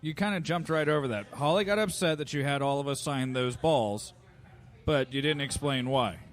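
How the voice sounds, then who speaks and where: A man's voice: medium pitch, moderate pace. A man in a casual podcast chat, pressing the others to explain a story's missing piece. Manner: questioning, pins down loose ends. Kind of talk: casual banter.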